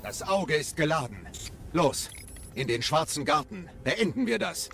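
Man acting a terse, earnest machine companion, slightly creaky. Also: on the high side, sing-song, a little slow, a bit bright, slight background noise, exaggerated voice. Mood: determined. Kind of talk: German audio drama acting of a video game.

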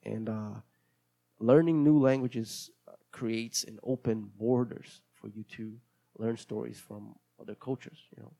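Gravelly-voiced Brazilian man rambling casually. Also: on the low side, fairly even intonation, unhurried, mellow. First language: Portuguese. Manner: hesitant, rambling, many restarts. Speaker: podcast host. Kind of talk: casual solo podcast monologue.

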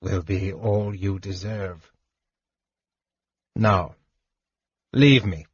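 A man doing a huge scheming dragon, cold and commanding, with a husky voice that stays measured and imperious. The recording is dry and dull. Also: sits low, steady tone, dry recording, heavily acted.

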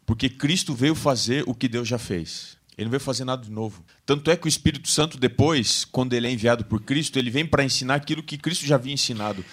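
A man with a Brazilian Portuguese accent, co-hosting a Bible lesson program and explaining theology in a measured, reflective way. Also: medium pitch, fairly bright tone. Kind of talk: Bible study discussion.

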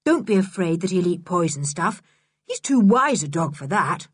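A level-headed older boy from a storybook, read by a woman. He sounds measured, decisive and reassuring, with a slightly husky voice in a dry acoustic. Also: fairly low pitch, big pitch swings, no echo.

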